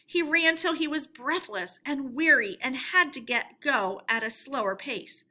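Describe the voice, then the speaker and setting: A woman storyteller reading a storybook aloud in an expressive narrating style. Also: high-pitched, measured pace.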